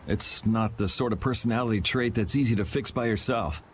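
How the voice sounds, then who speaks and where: A man's voice: on the low side, fairly quick, lightly acted. Man playing a wry young vigilante, noisy backdrop. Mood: wry, supportive. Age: young adult. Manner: dry, casual, sardonic. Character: cool, wry young male guild vigilante. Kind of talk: video game voice acting.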